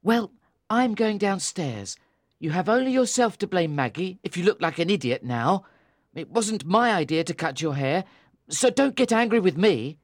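Woman as a husky, teasing young boy. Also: fairly low pitch, animated, moderate pace, no room sound, studio quality. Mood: blaming. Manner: mocking, exclamatory. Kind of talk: audiobook character voice.

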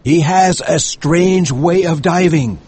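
A man's voice: on the high side, slow, a little mellow, breathy edge, voice pushed up. A man voicing a keen, plain-spoken whaling harpooner in an audiobook story. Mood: eager. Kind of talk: dramatized audiobook character voice.

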